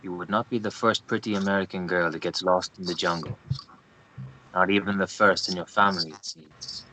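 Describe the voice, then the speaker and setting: A man's voice: deep voice, steady tone, fairly quick, bright, forceful tone, slight background noise, low-quality recording. An actor playing a grim male investigator in a screenplay read, giving grave, faintly menacing lines. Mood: grave, warning.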